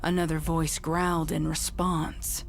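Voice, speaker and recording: A woman reading a dark story as dramatic scripted narration. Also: deep voice, level tone, unhurried. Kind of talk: dramatic scripted narration of horror fan fiction. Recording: clear music behind the voice. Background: music.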